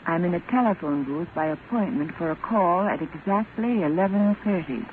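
A woman's voice: fairly low pitch, normal speed, natural voice. A woman playing a brave, earnest and polite young refugee in a 1940s radio drama. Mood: attentive, obedient. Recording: tinny, noisy vintage radio recording.

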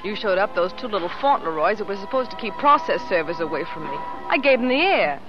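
A woman's voice: medium pitch, big pitch swings, fast, lightly acted. An actress playing a brassy, self-assured American showgirl, teasing and slangy in 1930s film style. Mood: teasing, flirtatious. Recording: dull vintage film sound, music behind.

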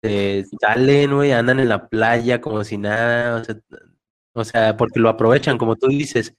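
Man, Mexican accent, mid pitch, relaxed slangy podcast chat. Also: moderate pace. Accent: Mexican Spanish. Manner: relaxed, slangy, frequent backchannels. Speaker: podcast co-host. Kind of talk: casual chat.

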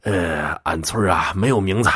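A man playing a friendly, confiding merchant in a folk tale, talking in a folksy, measured, explanatory way. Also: low voice, fairly bright tone, slightly rough, no echo, clean studio sound. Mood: explaining. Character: friendly merchant Mr. Fan, confiding fellow townsman giving directions.

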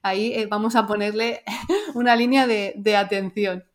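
A woman hosting a casual conversation about motherhood, talking in a chatty way with soft fillers. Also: medium pitch, normal speed. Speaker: podcast host.

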